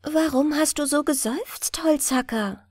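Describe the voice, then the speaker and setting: Woman voicing an airy, high-pitched, coaxing water nixie. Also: a little slow, darkish tone, dry recording, clean studio sound. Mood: curious, probing. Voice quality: light, clear, airy. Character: strange, coaxing female water nixie with green eyes.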